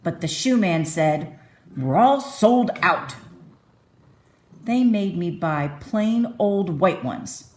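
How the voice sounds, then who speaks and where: A woman's voice: on the low side, animated, slow pace, darkish tone. A woman reading a children's bedtime story aloud expressively, stretching key phrases with dramatic emphasis.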